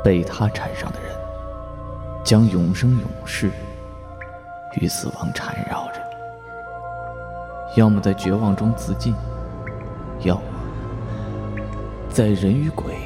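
A man narrating a horror audio drama as an ominous, solemn announcer of deadly missions, speaking deliberately. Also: low voice. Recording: voice over loud music.

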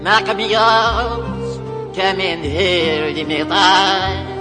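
A woman playing a sultry seductress, luring a lover with drawn-out, seductive phrases. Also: medium pitch, fairly even intonation. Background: Middle Eastern music.

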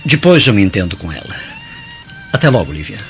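An actor with a Brazilian accent playing a kindly, considerate Roman uncle in a radio drama. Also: male voice, deep voice, very animated, brisk. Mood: kindly, concerned. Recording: muffled radio drama with music behind.

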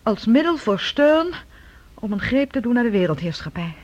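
Earnest scientist's daughter, radio drama, husky, high voice, formal, persuasive, muffled lo-fi sound. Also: female voice, very animated, normal speed. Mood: grave, confiding. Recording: dull, lo-fi radio recording.

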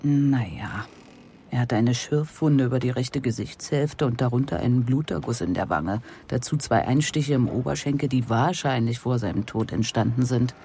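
An actor playing a matter-of-fact police colleague reporting findings in a radio crime drama, factual and unhurried. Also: male voice, medium pitch, dark tone, slight background noise, natural voice.

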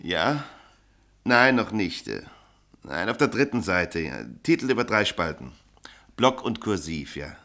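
A curt, harried newspaper editor. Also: male voice, fairly low pitch, lively intonation, unhurried, pushed and bright. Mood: brusque. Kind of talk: 1950s radio play acting.

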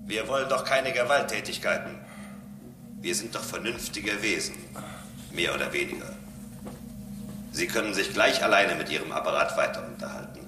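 An actor in a radio drama playing a calm, condescending robot who preaches solemnly and pedantically about serving a higher master. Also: male voice, normal speed, long pauses, noisy background, close to an everyday voice. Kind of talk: German radio drama acting. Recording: roomy echo, music bed behind.

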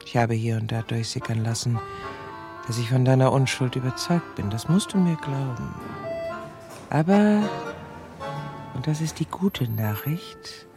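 A woman's voice: a bass voice, unhurried, long pauses, over music. An actress playing an obsessive, bitter, lovelorn middle-aged woman in a radio crime drama, intimate and often dropping to a whisper. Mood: coldly confiding.

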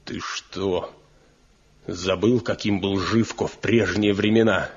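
A man's voice: low-pitched, steady tone, slow. An actor playing a proud, scornful younger village craftsman in a radio drama, insistent and sardonic. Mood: bitter, impassioned. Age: young adult. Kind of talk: Soviet radio drama acting. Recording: dull 1970 radio play recording.

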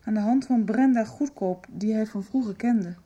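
An earnest young daughter in a radio soap. Her voice is fairly high yet dark and husky. She speaks in a searching, serious way. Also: moderate pace, highs cut off. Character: young woman, earnest daughter seeking truth about her father. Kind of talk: radio drama dialogue.

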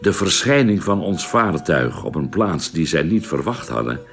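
Very deep-voiced, weary space doctor. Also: male voice, very animated, vocal fry, fairly bright tone, faint music behind, exaggerated voice. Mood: grave, measured. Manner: measured, formal storytelling. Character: weary ship's doctor recounting a space voyage. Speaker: narrator and character in a radio play. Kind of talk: scripted radio drama narration.

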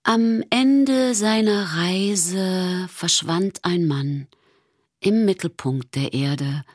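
A woman's voice: lowish voice, drawn-out words, no echo, clean studio sound. A woman playing a solemn storyteller narrating in a radio play. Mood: solemn, hushed. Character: solemn female storyteller delivering an epilogue.

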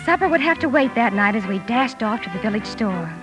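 Woman playing a high-voiced spirited tomboy. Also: animated, fairly quick, music in the background, natural voice. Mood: wry narrating. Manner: hearty, eager, theatrical. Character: spirited tomboy young woman, impulsive and warm-hearted. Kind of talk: period radio drama acting with narration.

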